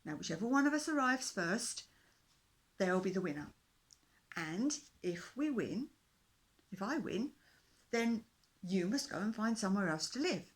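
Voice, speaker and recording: A woman voicing the small male king of the fishes in a storybook reading, speaking high and earnestly. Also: expressive, a little slow, pauses often, lightly acted. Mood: earnest, pleading. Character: small worried but brave male fish king.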